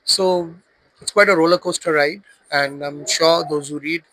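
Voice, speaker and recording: A man, an author describing his book in a hesitant, self-deprecating way with many pauses. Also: fairly high pitch, steady tone, bright, forceful tone, rough recording. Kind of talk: casual book pitch.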